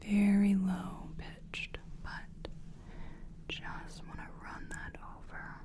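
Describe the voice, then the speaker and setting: A woman whispering in a breathy, gentle and soothing way, as a practitioner in an ASMR personal attention roleplay. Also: medium pitch, completely flat delivery. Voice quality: breathy, mostly unvoiced whisper. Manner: gentle, soothing, deliberate, reassuring. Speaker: ASMR performer playing a soul-cleansing practitioner.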